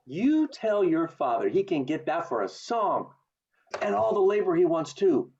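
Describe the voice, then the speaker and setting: Radio drama: male actor as an earnest, stubborn young man, emphatic and very animated, dulled by a video-call line. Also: high-pitched, a little slow, vocal fry, slight background noise, full character voice. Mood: guarded, earnest. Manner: stammering, emphatic. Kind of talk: amateur radio play acting. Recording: muffled video call audio.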